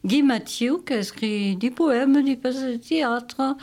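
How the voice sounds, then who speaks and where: Higher-pitched woman listing writers. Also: unhurried, a little mellow. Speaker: radio co-presenter. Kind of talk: presenting a list of regional writers.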